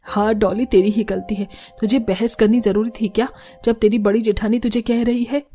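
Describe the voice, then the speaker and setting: A woman's voice: fairly high pitch, level tone, normal speed, faint music behind, lightly acted. A woman voicing a scolding older mother-in-law. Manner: shouting, scolding. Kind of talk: cartoon voice acting.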